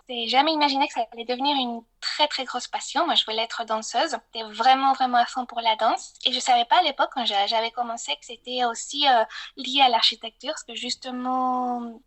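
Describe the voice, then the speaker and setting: High-voiced woman. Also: fairly bright tone. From Mexico, grew up in Mexico City. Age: middle-aged. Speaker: podcast guest, architect. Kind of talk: conversational life story in an interview.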